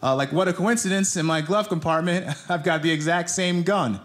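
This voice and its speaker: An American man in his thirties from New Jersey performing a conversational stand-up routine, with deliberate pauses and acted-out quotes. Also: high-pitched. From New Jersey, United States. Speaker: stand-up comedian. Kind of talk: live stand-up comedy routine. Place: theater stage in front of an audience. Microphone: handheld microphone. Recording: live stage mic with audience noise.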